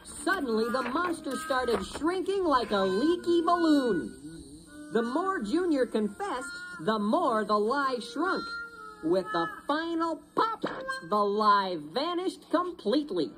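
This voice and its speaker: A woman's voice: high-pitched, very animated, slow pace, low-quality recording. A woman reading a children's storybook as a dramatic narrator.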